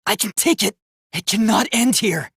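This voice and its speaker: A man's voice: sits high, normal speed, gravelly, a bit bright, slightly rough, no room sound, studio quality, full character voice. A man voicing an earnest, polite young male robot student in a dramatic anime game, with formal, precise, emphatic diction. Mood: determined, rousing. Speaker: video game voice actor.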